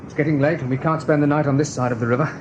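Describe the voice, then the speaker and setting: An actor playing a brave, steady rabbit leader in a radio play, decisive and plain-spoken. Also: male voice, on the high side, rapid-fire, background noise, lightly acted.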